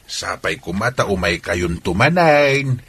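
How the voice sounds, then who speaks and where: A man's voice: fairly low pitch, animated, measured pace, fairly bright tone, slight background noise. A man acting a fond elderly rural grandfather in a radio drama, with an Ilocano accent. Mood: warm, welcoming.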